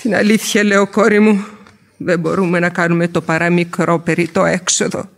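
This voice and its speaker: A woman's voice: on the low side, level tone, vocal fry. An actress playing a garrulous, complaining, impoverished widow and mother in a radio play, lamenting at length. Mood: aggrieved lamenting. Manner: plaintive, long-winded lamenting. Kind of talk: radio theatre acting in Greek.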